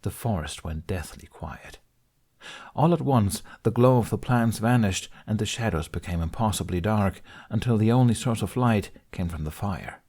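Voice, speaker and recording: A man reading a fan fiction story aloud with steady, expressive storytelling. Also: fairly low pitch, normal speed, slightly rough. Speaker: podfic reader. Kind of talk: fanfiction audiobook narration.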